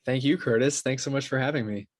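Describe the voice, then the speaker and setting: A man's voice: medium pitch, fast talker, dry recording, clean studio sound. A young man from Brazil talking casually as an interview guest. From São Paulo, Brazil. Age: young adult. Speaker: podcast guest, composer and sound designer.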